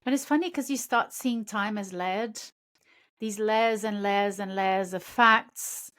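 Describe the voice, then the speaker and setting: A woman's voice: mid-range voice, takes it slowly, a bit bright. A woman whose first language is Portuguese, hosting a podcast and reflecting casually on art, often restarting her sentences. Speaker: podcast host. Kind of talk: casual conversation about art.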